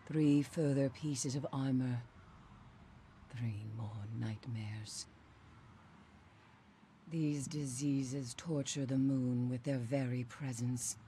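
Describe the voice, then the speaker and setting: A grim, haunted female warrior mystic from a video game. She speaks very slowly in solemn, formal phrases that sound ominous. There is ambient noise behind her. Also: a bass voice, mellow. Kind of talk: dramatic video game acting.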